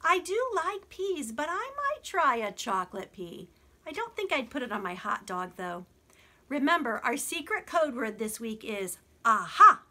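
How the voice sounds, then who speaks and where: A woman reading a picture book aloud to young children as a story hour host, expressive and measured in her telling. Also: a little high.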